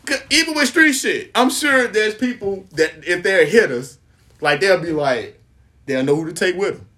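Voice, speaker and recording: A man co-hosting a casual chat, reacting and joking with animated energy. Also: high voice, normal speed. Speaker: podcast co-host.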